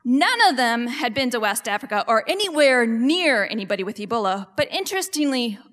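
Woman, high and animated voice, live storyteller, hall reverb. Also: a little fast. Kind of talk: live comic personal storytelling. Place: large performing arts hall.

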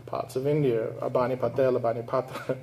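Nigerian-born writer guy speaking to a crowd, thoughtful storytelling, mid-pitched voice, faint hum underneath. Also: level tone, fairly quick, darkish tone. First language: English and Igbo. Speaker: writer giving a public talk. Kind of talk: reading prose aloud, then audience questions.

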